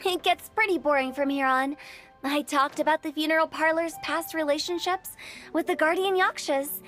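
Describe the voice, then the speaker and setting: A woman's voice: sits high, moderate pace, fairly bright tone. A woman voicing a playful, cheeky young funeral parlor director in a game, perky and teasing. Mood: breezy, teasing. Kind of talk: animated game cutscene voice acting. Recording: music under the voice.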